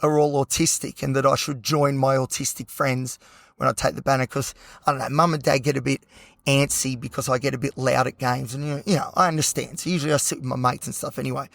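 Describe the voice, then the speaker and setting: An Australian man hosting a solo podcast with a hoarse, croaky voice, talking passionately and rambling with lots of fillers. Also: a little high, fairly bright tone, no echo, clean studio sound. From Newcastle, New South Wales, Australia. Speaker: podcast host.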